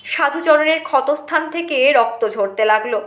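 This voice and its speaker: A woman's voice: sits high, moderate pace, roomy sound. A woman reading a short story aloud as dramatic scripted narration.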